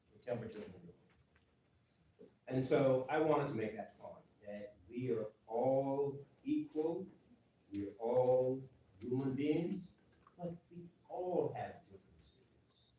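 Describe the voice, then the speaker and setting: Councilman, man, deliberate and pointed, dark tone, distant in a roomy chamber. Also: medium pitch, lively intonation, a little hiss or hum. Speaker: council member. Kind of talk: public meeting remarks. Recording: echoey chamber from far off.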